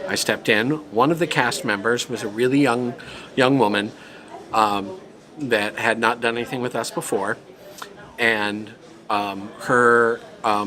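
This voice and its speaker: A man in a casual interview, reminiscing about community theater, rambling with frequent ums and dry humor. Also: mid-range voice.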